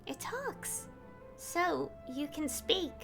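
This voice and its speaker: A woman voicing a calm, thoughtful young traveler who speaks reflectively. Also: high voice, drawn-out words, creaky, music in the background, heavily acted. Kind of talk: fan voice-over of a game scene.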